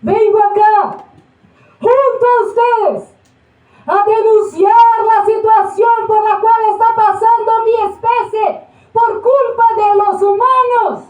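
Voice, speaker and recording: Very shrill indignant donkey activist. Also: female voice, fairly even intonation, slow, narrow, tinny audio. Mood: indignant, solemn. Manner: declamatory, solemn, slogan-like protest oratory. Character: indignant female donkey activist making a public denunciation. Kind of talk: theatrical protest speech. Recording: boxy, echoey stage recording.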